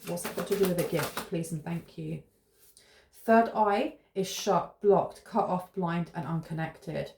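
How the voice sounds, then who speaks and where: A woman's voice: fairly low pitch, dark tone. Musing British woman in an echoey room. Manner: musing, meandering, thinking aloud with fillers. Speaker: tarot reader. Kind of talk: casual tarot reading to camera. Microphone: camera close in front.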